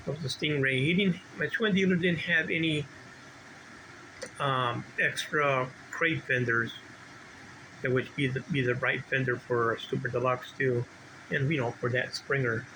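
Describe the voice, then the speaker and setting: A middle-aged or older man giving a casual, unscripted video walkthrough as a hobby collector, rambling and halting as he restarts his sentences. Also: medium pitch, poor audio. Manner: rambling, halting, self-interrupting. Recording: clear steady background noise, ambient hum.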